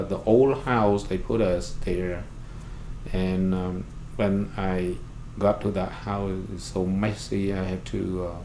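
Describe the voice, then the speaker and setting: A man with a Cambodian accent, a native Khmer speaker, recounts his experiences in halting, simply phrased English in an interview. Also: low voice, a little mellow. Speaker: interviewee, refugee services worker and pastor. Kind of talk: oral history interview, recounting personal experience. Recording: roomy echo, clear background noise.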